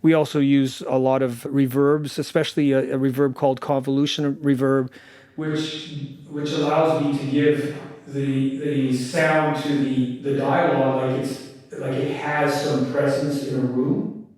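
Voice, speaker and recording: A man's voice: mid-range voice, level tone, a little slow, a little mellow. A man who works as a sound designer explains his process in an earnest, emphatic interview manner. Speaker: audio drama sound designer.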